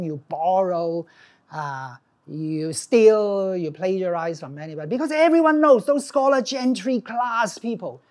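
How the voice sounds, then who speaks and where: A middle-aged man speaks with a Hong Kong accent in the manner of a professor addressing a class. His delivery is informal and animated, breaking into emphasis as he explains. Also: high-pitched. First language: Cantonese. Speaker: professor, lecturer. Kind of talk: informal academic lecture. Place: classroom with small audience, indoors.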